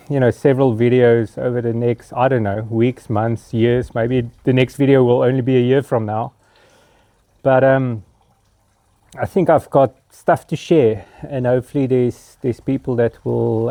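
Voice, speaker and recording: A South African man in his fifties, Afrikaans his first language and clear in his accent, talks to camera in a sincere, halting way with many pauses. His voice is mellow and warm. The sound is close and dry, with no room echo. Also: medium pitch. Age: 50s. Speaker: vlogger. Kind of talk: reflective personal monologue.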